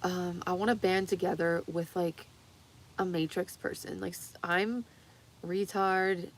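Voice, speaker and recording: A woman in her thirties with a slightly low voice that sounds a bit worn from talking. She rambles in an excitable, giggly way and swears freely. The delivery is casual and confessional, like an unscripted livestream. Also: unhurried. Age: 30s. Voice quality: voice strained and tiring from long talking.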